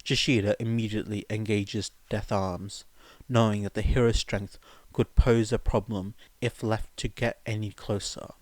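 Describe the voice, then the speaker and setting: A man's voice: on the low side, little pitch movement, moderate pace, no echo. A man who works as an amateur podfic reader, speaking informally as he narrates and chats to listeners. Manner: informal, rambling, self-deprecating. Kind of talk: amateur story narration with sung verses, casual rambling chat afterwards.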